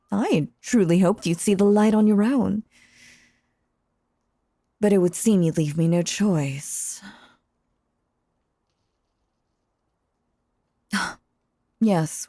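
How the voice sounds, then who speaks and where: A woman playing a sinister, possessive stage magician in an intimate audio roleplay, theatrical and coaxing, with a breathy voice that often slips into whispers. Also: mid-range voice, dark tone, no room sound, clean studio sound. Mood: resigned, menacing. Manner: theatrical, coaxing, drawn-out phrasing with dramatic pauses.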